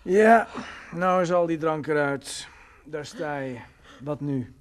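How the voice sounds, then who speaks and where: A man's voice: fairly high pitch, takes it slowly. An actor playing a bitter, brooding drunk man in a Dutch radio play, sardonic, morose and terse in manner. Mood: angry. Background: faint music.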